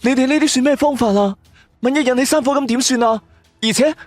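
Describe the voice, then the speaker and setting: A man's voice: very high-pitched, quick pace, dry recording. A man with a Cantonese accent playing a worried, principled companion in an audio drama, earnest and exasperated. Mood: scolding, worried. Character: worried, principled companion who scolds and questions. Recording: music bed under the voice.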